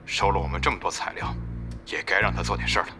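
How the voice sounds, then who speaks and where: A man's voice: sits low, quick pace, over music, natural voice. An actor playing a composed, decisive male guild president in an audio drama. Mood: composed, resolute. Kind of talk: Chinese audio drama acting.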